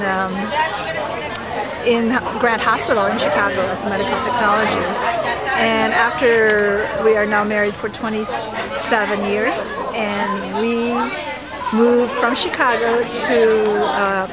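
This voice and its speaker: A woman in her fifties from the Philippines, telling her migration story in a halting interview. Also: fairly high pitch. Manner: halting, searching for words. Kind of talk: casual interview. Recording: crowd noise behind.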